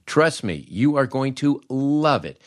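A man's voice: medium pitch, sing-song, a little slow, no room sound, clean studio sound. An older man hosting a podcast in a chatty, wry, emphatic storytelling manner. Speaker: podcast host and former radio disc jockey. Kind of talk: conversational storytelling and host-read ads.

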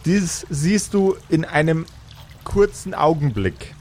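A man narrating an improvised tabletop game as game master, halting as he searches for words. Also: fairly high pitch, expressive, darkish tone, a little hiss or hum.

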